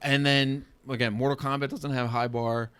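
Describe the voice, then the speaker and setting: A man's voice: medium pitch, flat delivery, a little fast, a bit bright, clear, resonant tone. A man in casual podcast debate, giving emphatic opinions in slangy language. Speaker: podcast panelist.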